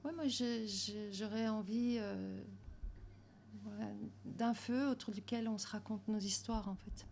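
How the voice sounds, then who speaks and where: Woman chatting in a café, pretty flat pitch, thoughtful, lots of fillers. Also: unhurried, creaky, slight background noise. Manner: reflective, with many fillers. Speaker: film director guest. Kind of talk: casual interview chat.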